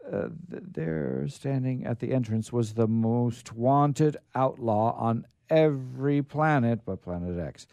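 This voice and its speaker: A man hosting a radio show, reading stories aloud in an informal, halting but theatrical way. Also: medium pitch, gravelly, mellow, no room sound. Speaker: radio show host and storyteller.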